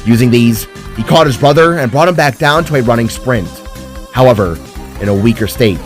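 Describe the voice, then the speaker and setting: A man's voice: medium pitch, brisk. A man reading scripted fantasy narration in a dramatic storytelling style. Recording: music behind the voice. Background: music.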